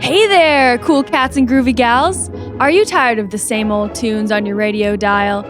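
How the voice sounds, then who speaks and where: A woman playing a peppy, groovy 1960s radio advert pitchwoman. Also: fairly even intonation, unhurried, over music, lightly acted. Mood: upbeat, selling.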